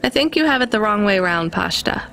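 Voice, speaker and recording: A woman's voice: mid-range voice, expressive, fast talker, sharp tone. An actress playing a weary, caring young woman who works as a delivery driver in a video game. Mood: calm, fond. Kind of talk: naturalistic video game acting.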